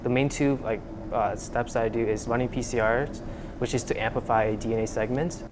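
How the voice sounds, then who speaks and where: A young man from Vietnam speaks earnestly about his lab work, circling back through fillers and restarts. His pitch sits mid-range. A steady air-conditioning hum runs underneath the recording. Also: fairly quick. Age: young adult. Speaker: student researcher. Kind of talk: casual interview. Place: lab room.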